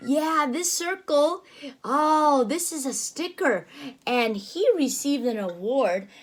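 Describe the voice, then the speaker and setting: Woman storyteller reading to a small child, high-pitched, very slow, animated, full of gasps and questions. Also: noisy background. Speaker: teacher reading aloud. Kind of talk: reading a picture book to a child.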